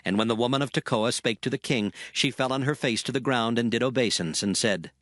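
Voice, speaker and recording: Solemn male scripture narrator, mid-pitched. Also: brisk, a bit bright, dry recording, clean studio sound. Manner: solemn, formal.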